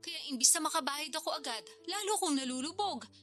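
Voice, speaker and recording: Naggy broke big sister from the province, high voice, wheedly and pushy, radio drama w music behind. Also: female voice, expressive, fairly quick, sharp tone. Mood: pleading. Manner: insistent, guilt-tripping, wheedling. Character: pleading, nagging older sister from the province, hard up.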